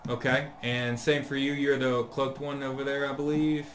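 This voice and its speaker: A man acting as dungeon master, narrating scenes and asking the players what they do. He speaks haltingly, with plenty of "um"s. His pitch is mid-range, and the room echoes with a faint hum behind him. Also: level tone. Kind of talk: running a tabletop game. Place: law firm conference room.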